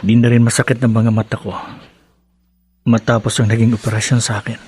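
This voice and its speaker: An actor playing a gentle, remorseful young husband with a husky voice in a radio drama. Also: male voice, medium pitch, level tone, close to an everyday voice. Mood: remorseful, loving. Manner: slow, gentle, apologetic. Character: remorseful young husband recovering from surgery, devoted.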